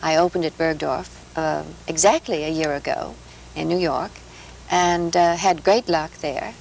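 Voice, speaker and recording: Woman from minnesota telling stories on an old 70s tv show, lowish voice with some fry. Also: steady tone, unhurried, darkish tone, low-quality recording. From Minnesota, USA. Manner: gracious, anecdotal storyteller. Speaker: interview guest. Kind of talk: relaxed talk show interview. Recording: 1970s television broadcast, light hiss.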